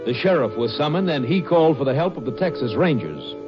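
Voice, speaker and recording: An actor as the solemn narrator of an old radio crime drama, formal and measured. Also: male voice, a little high, very animated, over music. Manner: formal, measured announcer delivery. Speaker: announcer. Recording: muffled old radio recording.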